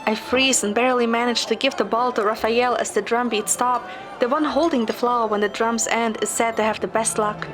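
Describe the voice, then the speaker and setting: A woman's voice: a little high, fairly even intonation, brisk. A woman reading scripted first-person story narration. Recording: music behind the voice. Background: music.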